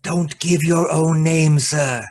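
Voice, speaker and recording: A performer playing a hesitant, obedient servant with a halting manner in a radio drama. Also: mid-range voice, steady tone, darkish tone, close to an everyday voice. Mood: meek, hesitant. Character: hesitant, deferential servant or assistant.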